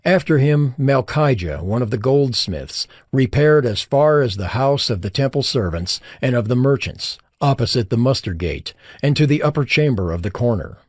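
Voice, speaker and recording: A man narrating scripture from a script in a solemn, dramatic style with measured phrasing. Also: mid-range voice, animated, darkish tone, no room sound, studio quality. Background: background music.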